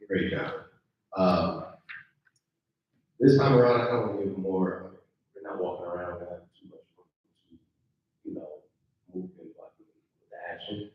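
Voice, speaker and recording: A man directing actors with casual, halting, encouraging feedback, heard from afar in a dull, echoey room. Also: low-pitched, far from the mic. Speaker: director giving notes to actors. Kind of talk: casual spoken feedback to a group. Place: indoor event room, live stream.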